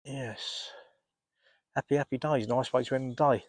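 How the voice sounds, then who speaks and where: A man with a cold, rambling casually to camera while fishing outdoors by a lake, often clearing his throat. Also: mid-range voice, steady tone, normal speed, dry recording, clean studio sound. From England. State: congested, blocked up with a cold. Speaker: carp fishing vlogger.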